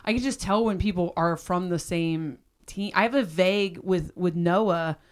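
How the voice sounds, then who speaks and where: A woman with a raspy, deep voice that cuts out in spots, chatting loosely and candidly as a podcast host. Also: moderate pace. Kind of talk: casual chat.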